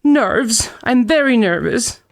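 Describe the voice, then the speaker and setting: High-pitched, frantic invalid wife. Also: female voice, lively intonation, slow. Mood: demanding, agitated. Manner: imperious, anxious, melodramatic. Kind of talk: old-time radio thriller acting.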